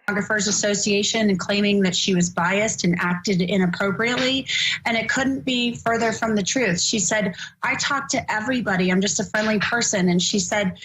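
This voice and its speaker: A woman's voice: medium pitch, normal speed, a bit bright, poor audio. A woman hosting a legal news show, recounting events in an earnest, conversational way while losing her voice. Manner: conversational, earnest, many fillers. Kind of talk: recounting on a broadcast.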